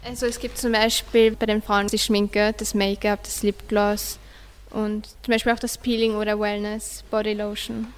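A woman's voice: mid-range voice, flat delivery, normal speed, clear, resonant tone, in a large hall. A teenage schoolgirl giving examples as a guest in a student radio discussion. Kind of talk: answering with examples.

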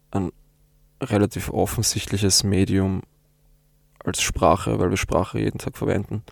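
A young man whose first language is German speaks in a low, gravelly voice with very little melody. He answers interview questions thoughtfully, pausing and restarting as he searches for words. The recording is close and dry. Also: no echo. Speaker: interviewed poet.

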